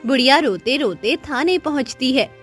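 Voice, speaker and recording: A woman's voice: high voice, lively intonation, moderate pace, a bit bright, breathy edge, faint music behind. A woman narrator reading a scripted children's moral story.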